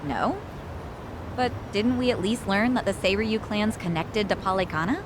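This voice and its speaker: An actress playing an earnest, supportive young woman in a game cutscene, sincere and expressive. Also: medium pitch, normal speed, noisy background, natural voice. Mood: upbeat. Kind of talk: video game cutscene acting.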